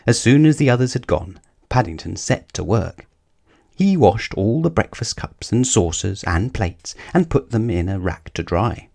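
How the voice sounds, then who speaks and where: A man's voice: on the low side, big pitch swings, moderate pace, creaky, darkish tone. A man reading a scripted children's story aloud as the narrator.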